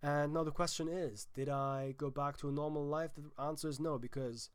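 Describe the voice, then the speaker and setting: A man's voice: fairly high pitch, fairly even intonation, normal speed, no echo. A young man with a German accent, hosting his own film vlog and sick, talking in a chatty, emphatic way with restarts. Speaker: film vlogger, host. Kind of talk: casual vlog chat.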